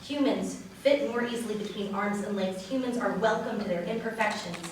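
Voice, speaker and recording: A woman's voice: medium pitch, a little fast. A woman giving a dramatic reading of love poetry as a wedding reader. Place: large echoey room at a wedding. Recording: echoey hall, distant mic, faint noise.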